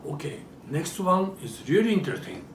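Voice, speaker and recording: Male demonstrator, Japanese accent, higher-pitched and lively intonation, frequent questions to audience, roomy distant-mic sound. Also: moderate pace, noisy background. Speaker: presenter demonstrating traditional toys. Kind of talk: live show-and-tell demonstration.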